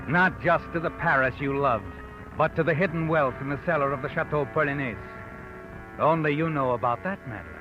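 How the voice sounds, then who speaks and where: Actor as a sinister, highish-voiced radio mystery narrator. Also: male voice, natural voice. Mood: taunting, insinuating. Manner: insinuating, dramatic. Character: sardonic, knowing, sinister storyteller addressing the guilty woman. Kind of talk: 1940s radio mystery narration, second person. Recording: dull old radio broadcast, music behind.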